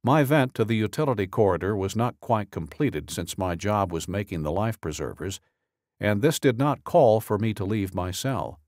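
Low-voiced man narrating an audiobook. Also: expressive, moderate pace, darkish tone, dry recording, clean studio sound. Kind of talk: scripted narration, reading a true-crime statement.

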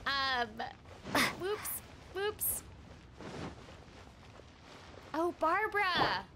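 A woman's voice: extremely high pitch, big pitch swings, extremely slow, very noisy. A young adult woman chatting casually and playfully while streaming a video game. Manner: playful, giggly, exclamatory. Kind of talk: casual livestream chat while gaming. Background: game music.

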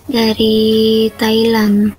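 A teenage girl answering briefly and softly on a late-night video call. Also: fairly high pitch, very slow, faint music behind, rough recording. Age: teens. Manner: soft-spoken, short flat replies. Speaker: video chat stranger. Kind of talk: shy casual video-call chat. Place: small room at night. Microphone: webcam video call.

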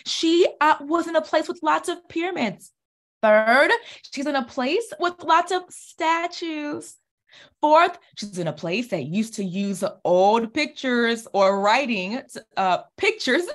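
Woman, thirties, high voice and leisurely pace, children's author reading a picture book aloud, coaxing young listeners with questions and praise. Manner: encouraging, exclamatory, asks questions and answers them. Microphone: computer mic on video call.

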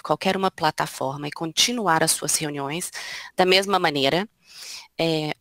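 A woman's voice: deep voice, fairly bright tone, no room sound. A woman in her thirties with a Brazilian accent, presenting informally as a panelist on a headset video call. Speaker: panelist, organization representative. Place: indoor room at home.

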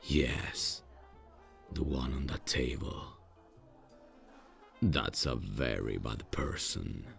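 A man playing an awkward, menacing demon in a comedic ASMR roleplay, speaking in a stilted, halting, deadpan way with a deep gravelly voice. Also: soft background music, exaggerated voice. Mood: dry, curious. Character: awkward, menacing male demon on a blind date.

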